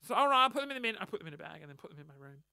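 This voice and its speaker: Hoarse Australian podcast host, bright and animated, self-mocking rambler. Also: male voice, high-pitched, rapid-fire, dry recording, studio quality. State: hoarse, losing his voice. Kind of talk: casual solo storytelling.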